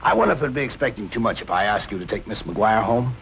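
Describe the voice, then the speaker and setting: Film noir police captain, low swinging voice, firm, colloquial, vintage soundtrack with music. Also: male voice, lively intonation, creaky, fairly bright tone, a little hiss or hum, rough recording. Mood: easing, curious. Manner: brisk, authoritative, colloquial. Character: steady, probing police captain, grown man. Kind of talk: 1940s film noir acting.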